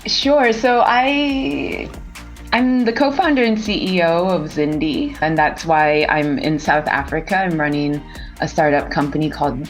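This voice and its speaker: Female interview guest, Californian American accent, low register, conversational delivery on a remote call with music present. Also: fairly even intonation, a little slow. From San Francisco Bay Area, United States. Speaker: interview guest, startup co-founder and CEO. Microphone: remote video call.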